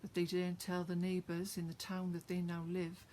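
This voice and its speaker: A woman's voice: lowish voice, completely flat delivery, normal speed, dark tone, slight background noise, rough recording. A woman with a Welsh accent, chatting to her viewers with many fillers before reciting her own rhymed poem. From Wales. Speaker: poet sharing her own work. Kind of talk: casual chat, then a rhymed poem recitation.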